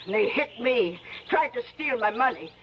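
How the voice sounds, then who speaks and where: A woman playing an old backwoods granny in a radio drama, feisty and sly, with folksy, halting rural talk. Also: fairly high pitch, animated, lightly acted. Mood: indignant. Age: older. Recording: dull, noisy old radio recording.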